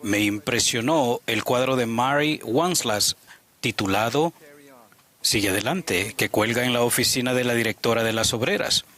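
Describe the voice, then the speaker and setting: Brief: male interpreter, middle pitch, earnest story-led sermon. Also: moderate pace, fairly bright tone.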